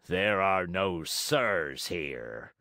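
A man voicing a huge, gruff, bearded puppet master with a hoarse voice, blustering but soft-hearted, in a storybook reading. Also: deep voice, lively intonation, drawn-out words, no echo, clean studio sound, full character voice. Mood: stern, commanding.

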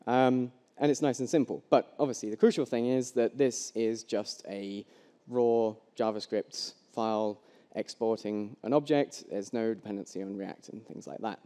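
Young tech speaker, relaxed and loose, deep-ish tone, headset mic in an echoing hall. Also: male voice, normal speed. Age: young adult. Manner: casual, loose, many ums and restarts. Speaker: conference speaker. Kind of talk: live coding demo talk. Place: large hall with long reverb. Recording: echoey hall.

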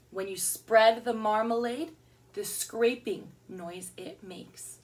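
A young woman teacher giving an online lesson from her home, speaking gently and encouragingly to children and reading a poem aloud. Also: fairly high pitch, distant mic. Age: young adult. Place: home room with plants and shelves, indoors. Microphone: webcam at desk distance.

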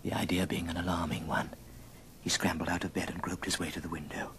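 A man narrating a story in an old radio drama, measured and theatrical. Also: deep voice, little pitch movement, crowd noise. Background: music.

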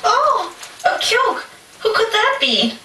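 Woman playing a very high, singsong little girl. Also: big pitch swings, slow pace, pushed and bright, full character voice. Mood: wary. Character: wary, obedient little girl, an elder sister. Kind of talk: puppet show stage acting. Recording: distant echoey room, faint noise.

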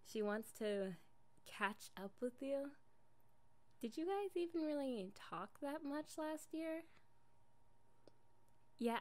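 A young woman playing an affectionate, jealous girlfriend in an improvised ASMR roleplay, casual and coaxing in an intimate way. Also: on the high side.

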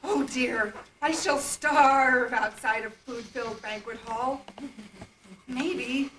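A woman's voice: a little high, fairly bright tone. A woman voicing a large, hungry, self-pitying tiger with theatrical growls in an amateur puppet play. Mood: mournful, greedy. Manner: plaintive, slow, theatrical growls. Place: indoor puppet stage, far camera. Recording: distant, echoey, noisy old camcorder tape.

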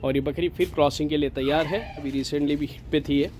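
Hyderabadi male farm vlogger, slightly high voice, persuasive seller tone, recorded outdoors on a phone, light background noise. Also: steady tone, a little fast, a little mellow. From India. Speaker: goat farm owner and channel host. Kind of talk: casual sales walkthrough. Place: outdoor goat pen at a farm.

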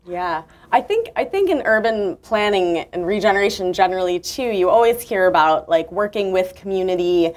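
A woman talks through her ideas at a book launch, reflective and a little rambling, full of fillers and restarts. Her voice sits at a middling pitch. She is heard from a camera at a distance across a university lecture room. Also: expressive, normal speed, hardly any pauses. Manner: reflective, thinking aloud with many fillers. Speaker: author.

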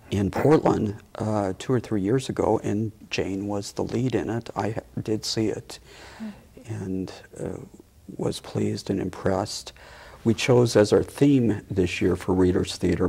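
An older man with a deep, gravelly voice that is very rough and breathy. He talks as a guest in a studio interview. His phrasing is halting and hesitant. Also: fairly even intonation, warm tone. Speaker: theater producer, talk show guest. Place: small TV studio, indoors. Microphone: lapel mic.

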